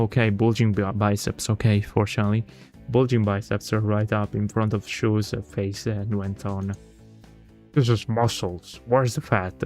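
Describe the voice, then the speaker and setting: A man's voice: on the low side, darkish tone. A man livestreaming a visual novel read-aloud in a casual, chatty way, often stumbling over words and correcting himself.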